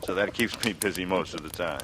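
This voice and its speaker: An actor playing a secretive, coolly sardonic young ex-pilot in a 1940s radio drama, with an American accent and a measured, brooding delivery. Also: male voice, deep voice, background noise, natural voice. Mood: easy, wry. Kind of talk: 1940s radio drama acting, first-person noir narration and dialogue. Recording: vintage radio recording with prominent music.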